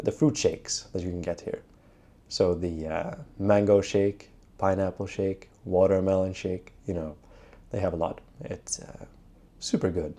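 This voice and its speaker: A young man from Sweden talking casually to camera in a travel vlog, chatty and relaxed, with frequent filler sounds. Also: fairly low pitch, slightly rough. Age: young adult. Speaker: travel vlogger.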